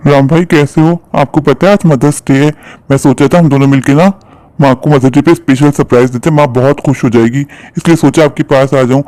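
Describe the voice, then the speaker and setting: Man as an earnest, quick-talking younger brother. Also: mid-range voice, steady tone, dark tone, dry recording, close to an everyday voice. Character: devoted, poor younger brother, earnest and deferential.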